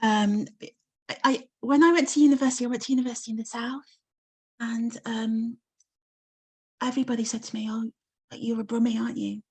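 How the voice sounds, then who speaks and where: A woman's voice: a little high, fairly even intonation, fairly quick, long pauses, husky. A woman with a Coventry English accent, a novelist guest talking in a casual interview, with lots of ums and easy laughter. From Coventry, England. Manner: chatty, many ums, warm laughter.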